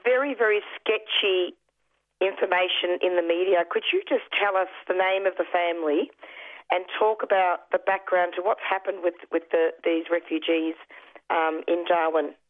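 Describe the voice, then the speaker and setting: A woman radio host with a slightly lost, worn voice, pitched fairly low. She talks earnestly, often restarting her phrases. The sound is thin and phone-like. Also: steady tone, moderate pace. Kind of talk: community radio interview. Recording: tinny phone-like radio audio.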